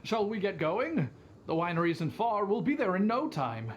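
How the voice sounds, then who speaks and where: A man's voice: high-pitched, sing-song, normal speed, lo-fi sound, a little hiss or hum. A man voicing a polite, eager and slightly nervous young bartender in an anime game, courteous and hesitant.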